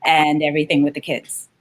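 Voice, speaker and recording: A woman's voice: low-pitched, flat delivery, moderate pace. A woman speaking informally as a guest children's author and librarian, presenting a read-aloud for kids.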